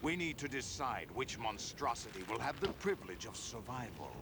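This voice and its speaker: Brief: a brooding older video game warlord, gravelly mid-pitched voice, noise behind. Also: male voice, big pitch swings, moderate pace. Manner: grave, brooding, with whispered asides. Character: scheming older male warlord, foster father and enemy.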